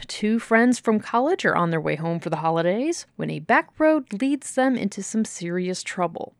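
A woman's voice: mid-range voice, no room sound. A woman hosting an audio drama show, delivering scripted introductions. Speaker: show host.

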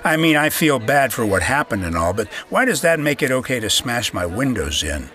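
An actor playing a plain-spoken small shopkeeper interviewed in an audio drama, halting, earnest and folksy. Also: male voice, medium pitch, sing-song, sharp tone, a faint crowd. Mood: aggrieved, earnest.

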